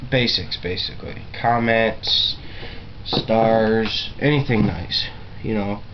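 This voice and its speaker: A man talks casually to the camera at a slow pace, rambling and stopping to search for words. His manner is self-deprecating. The sound is dull and lo-fi with faint noise behind it. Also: lowish voice. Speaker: amateur video blogger.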